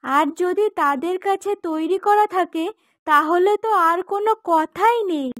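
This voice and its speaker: A woman's voice: very high voice, a little slow, fairly bright tone, dry recording, clean studio sound. A woman voicing a bossy young or married housewife in a cartoon, pointed and questioning in manner. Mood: persuasive. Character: curt daughter-in-law, or bossy persuasive wife. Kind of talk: cartoon dubbing.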